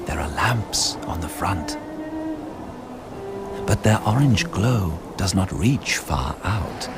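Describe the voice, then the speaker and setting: An actor playing a dry, formal, middle-aged bookseller in a radio drama, speaking in measured, literary sentences. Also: male voice, deep voice, expressive, over loud music, heavily acted. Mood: brooding.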